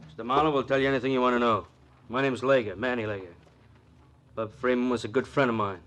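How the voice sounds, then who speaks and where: A man's voice: medium pitch, fairly even intonation, quick pace, a bit bright, slight background noise, lightly acted. An American actor playing a brash, hot-headed tough guy in a 1940s radio crime drama, blunt and sarcastic. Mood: sarcastic, defiant.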